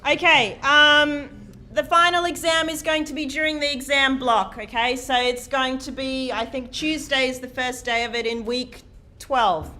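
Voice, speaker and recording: Bright, high-voiced woman lecturing. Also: steady tone, measured pace, few pauses. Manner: loud, projecting voice, chatty and self-deprecating, many "um"s. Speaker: university lecturer. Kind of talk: live lecture to a large class. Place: large lecture hall. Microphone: lecture microphone, sometimes off-mic while walking.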